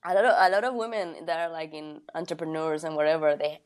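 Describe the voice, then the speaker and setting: A woman comedian who is a podcast guest, talking in casual chat. She speaks haltingly and laughs often between phrases. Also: deep voice, steady tone.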